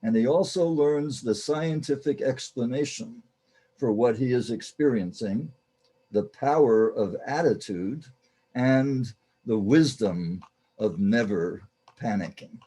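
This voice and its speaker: A man hosting and narrating a radio play introduction in a deliberate, formally theatrical manner. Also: medium pitch, mellow, breathy edge, low-quality recording. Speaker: host, narrator.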